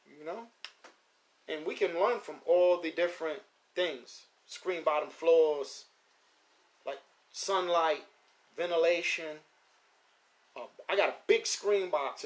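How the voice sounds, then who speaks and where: A man in his fifties hosting a live stream about his pigeons, talking casually and repeating points for emphasis. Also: sits high. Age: 50s. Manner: loose, repetitive, emphatic street-casual talk. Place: at home near his pigeon loft.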